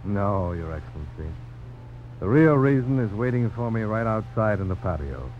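Low-voiced earnest frontier hero played by a man. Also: lively intonation, moderate pace, a little hiss or hum, close to an everyday voice. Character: polite, steady frontier adventurer, a famous knife duelist in love. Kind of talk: 1950s radio drama acting. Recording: dull old radio broadcast recording.

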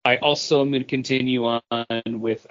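A man's voice: medium pitch, steady tone, moderate pace, fairly bright tone. A man with a mid-range voice talks as a podcast co-host, rambling along with a fan's enthusiasm. The style is casual and conversational, and the recording is slightly muffled and lo-fi. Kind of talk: casual comic book review chat. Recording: dull, lo-fi podcast audio.

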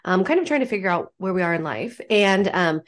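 A woman's voice: mid-range voice, animated, a little fast. Congested woman attorney in her forties. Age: 40s. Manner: chatty, self-deprecating, laughs often. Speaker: attorney podcast guest. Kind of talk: casual podcast interview.

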